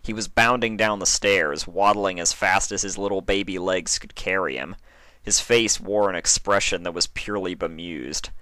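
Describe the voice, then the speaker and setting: A man reading a fan fiction story aloud as narrator, in a deliberate, theatrical way. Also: low voice, bright, forceful tone, no room sound. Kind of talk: scripted dramatic story narration.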